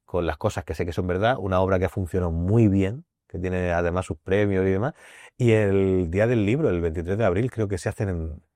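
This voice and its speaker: Low-voiced man with a Murcian accent. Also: fairly quick, warm tone, no echo, clean studio sound. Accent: Murcian Spanish. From Murcia, Spain. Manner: thoughtful, circling back to repeat key phrases. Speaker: voice teacher and actor. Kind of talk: podcast interview, explaining.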